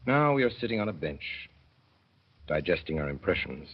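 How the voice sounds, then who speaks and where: A man narrating a 1930s radio drama as a father, in a wry, mournful storytelling manner that slows to dwell on sad images. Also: deep voice, very animated, slightly rough. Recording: dull old radio broadcast.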